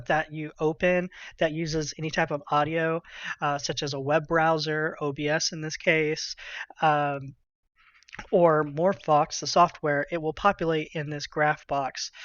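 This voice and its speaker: A man narrating a software tutorial in an informal, conversational, slangy way. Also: on the high side, dry recording, highs cut off. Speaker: tutorial presenter.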